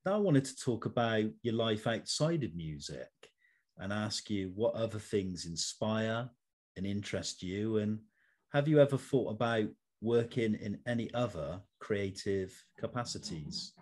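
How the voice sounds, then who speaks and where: Male interview host, lower register. Measured, formal phrasing, prepared questions. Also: animated, a little mellow.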